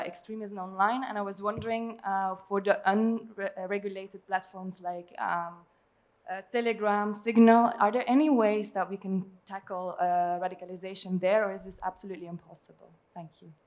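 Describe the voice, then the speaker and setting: A woman from Belgium puts a question to a panel. She has an average pitch and feels her way through the sentence with some hesitation. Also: steady tone, moderate pace, darkish tone. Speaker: audience questioner.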